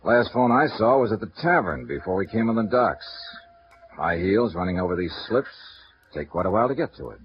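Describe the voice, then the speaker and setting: A hard-nosed insurance investigator presses with calm, steady questions. His voice sits low but rises and falls a lot. It comes through a muffled old radio transcription. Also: a little fast, noisy background. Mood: probing. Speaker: lead actor. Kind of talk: 1950s radio drama acting.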